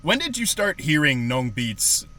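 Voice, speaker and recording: A man's voice: on the high side, very animated, a little slow, pushed and bright, slight background noise. A man hosting a podcast interview, asking short easygoing questions. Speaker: podcast host. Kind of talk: casual interview chat.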